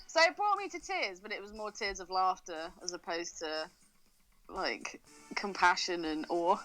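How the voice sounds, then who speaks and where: A woman's voice: fairly low pitch, animated, measured pace, fairly bright tone, faint music behind, poor audio. A woman co-hosting a podcast in casual chat, teasing and swearing freely as she banters. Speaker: podcast co-host.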